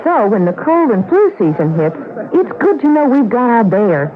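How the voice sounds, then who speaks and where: A man's voice: very high-pitched, big pitch swings, moderate pace, crowd noise. A man giving a sincere, plainspoken scripted testimonial in an old radio commercial. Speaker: testimonial speaker in aspirin commercial. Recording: tinny old radio broadcast.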